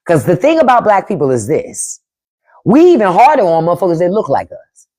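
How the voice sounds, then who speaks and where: Woman, blunt and profane, livestream host, very lively pitch, lowish voice. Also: brisk. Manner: blunt, profane, emphatic, reads and answers chat. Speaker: podcast host on a live stream. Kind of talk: casual livestream commentary.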